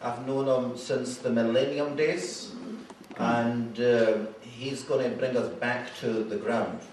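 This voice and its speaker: A man's voice: medium pitch, fairly even intonation, slow, darkish tone, slight background noise. A Glaswegian man hosting a meeting and introducing speakers, hesitant with many ums and restarts. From Scotland. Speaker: event host. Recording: echoey hall, voice far from mic.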